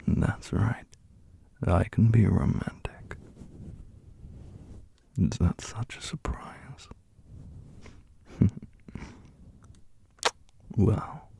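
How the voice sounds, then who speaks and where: Casting: wry romantic dark prince, slow, husky near-whisper, intimate ASMR roleplay performed by a man. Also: exaggerated voice. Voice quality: low, breathy, whispery.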